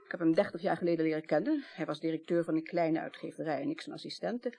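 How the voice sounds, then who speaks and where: An older woman with a slightly low voice in a radio drama. She is composed and candid. Her delivery is matter-of-fact and hospitable, like natural conversation. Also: fast talker, gravelly, dark tone. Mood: frank, reminiscing. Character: candid, composed woman of about sixty, longtime mistress.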